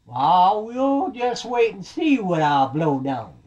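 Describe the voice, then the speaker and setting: A man's voice: high-pitched, very animated, slow, a little mellow, muffled recording, voice pushed up, heavily acted. A man voicing a big bad storybook wolf, scheming and easily frustrated, in a folksy, wheedling, grumbling way. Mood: menacing. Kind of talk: storybook character voice.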